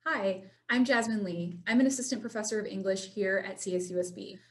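A woman's voice: medium pitch, a little fast. Upbeat woman English professor. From Inland Empire, California. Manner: upbeat, enthusiastic. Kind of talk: faculty self-introduction. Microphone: video call webcam.

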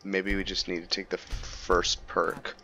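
Deep-voiced male gamer over faint music. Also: monotone, measured pace, vocal fry. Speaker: gaming host. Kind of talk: casual let's play commentary with roleplay. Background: game music.

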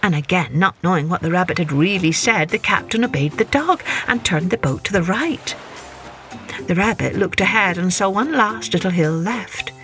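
A woman's voice: fairly low pitch, lively intonation, moderate pace, a bit bright, faint music behind. A woman telling a children's story with dramatic, emphatic expression, as a podcast host.

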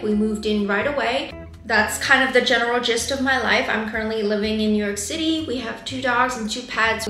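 A woman's voice: on the high side, bright, forceful tone, roomy sound. A woman in her twenties from China, a native Chinese speaker, talking candidly and thoughtfully to the camera about her life. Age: 20s. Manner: candid, thoughtful, gestures a lot while talking. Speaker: vlogger. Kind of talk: reflective personal talk to camera. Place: bedroom or home office, indoors.

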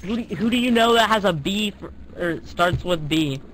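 A high-voiced young man makes casual prank calls. He talks in slang, teases and swears freely. There is some noise behind him, as in an amateur online game recording. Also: expressive, moderate pace, a bit bright, rough recording. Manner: slangy, teasing, profane. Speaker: prank caller. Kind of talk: casual, crude prank phone chat.

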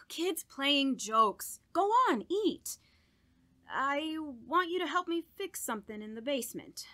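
A scheming, guilt-haunted murderous woman acted by a woman in a radio horror drama. Her voice is high and sharp-edged, and she takes her time, curt and brooding, leaving dramatic pauses. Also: expressive, exaggerated voice. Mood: tense, curt.

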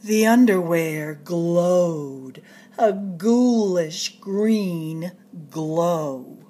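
A woman reading a picture book aloud to children in an expressive, dramatic storyteller's way, drawing out suspenseful phrases. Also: lowish voice, drawn-out words, a little hiss or hum. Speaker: storytime reader at a public library.

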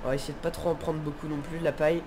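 A man's voice: a little high, level tone, rapid-fire, noisy background. A man with a nasal, stuffed-up voice from a head cold, giving casual, hesitant let's play commentary as he thinks aloud. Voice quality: congested, nasal. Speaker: gaming video host.

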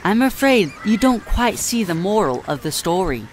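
A man playing a mild songbird who narrates a storybook tale in a measured, gentle way. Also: high voice, sing-song, slight background noise. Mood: mild, matter-of-fact.

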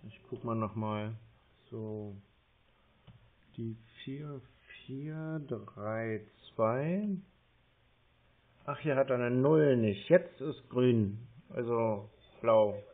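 A man's voice: medium pitch, extremely slow. A man talking hesitantly and muddled on a phone call, thinking aloud as he types and reads. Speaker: scam-baiter posing as a confused customer on a call. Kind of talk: slow phone conversation, reading aloud while typing. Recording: muffled, narrow phone-call sound.

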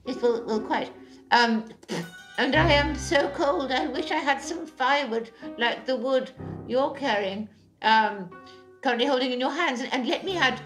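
A woman's voice: high-pitched, unhurried, poor audio. A woman voicing a fairy who poses as a grizzled old man in a comic pantomime, flustered, hesitant and evasive. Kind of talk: pantomime comedy. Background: music.

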